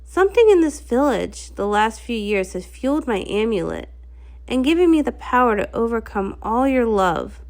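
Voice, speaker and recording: A haughty villainous sorceress from a pony storybook, acted out by a woman. She taunts and sneers in a theatrical swing, dropping low with scorn and spite. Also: big pitch swings, normal speed, a little mellow. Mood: gloating.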